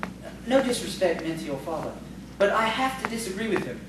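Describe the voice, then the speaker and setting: A woman's voice: medium pitch, big pitch swings, brisk, close to an everyday voice. A woman playing a polite, timid, bookish male schoolteacher in audio theatre, hesitant and prim. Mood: gently encouraging. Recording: distant, echoey, noisy stage recording.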